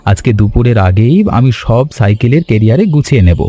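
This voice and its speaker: A man voicing an eager, obliging young village man in animated cartoon dialogue. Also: medium pitch, fast, faint music behind, close to an everyday voice. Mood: cheerful.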